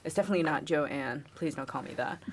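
A young woman from New York chats as a guest, wry and easygoing, with plenty of fillers. Her voice sits low for a woman. She talks about herself in a relaxed interview. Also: quick pace, slight background noise. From New York, USA. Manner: chatty and wry, many fillers. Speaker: guest, Marine Spanish linguist. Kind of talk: casual interview chat.

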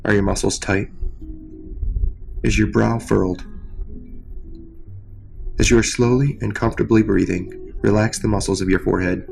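A man leading a guided meditation from a script as a coach, in a soothing, measured manner with long pauses. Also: lowish voice, fairly even intonation, gravelly, darkish tone, faint music behind. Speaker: meditation coach, nurse practitioner.

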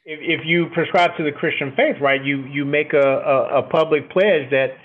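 A middle-aged man with a booming voice, an officer answering interview questions reflectively and often checking in with "right?". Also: normal speed. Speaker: military officer, podcast guest. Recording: thin, phone-like band-limited line.

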